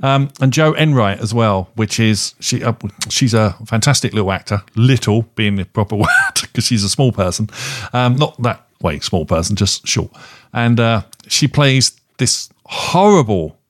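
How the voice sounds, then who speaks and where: A man co-hosting a podcast, chatting casually through a review in a halting way, often correcting himself. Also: fairly low pitch, expressive, no room sound, clean studio sound. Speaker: podcast co-host.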